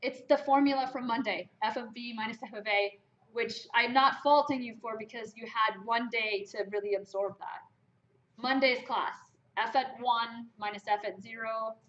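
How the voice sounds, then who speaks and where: A woman's voice: on the high side, moderate pace, fairly bright tone. A woman from Germany teaching a math class, talking emphatically and asking the students rhetorical questions. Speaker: math lecturer. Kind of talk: classroom lecture. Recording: lo-fi lecture capture.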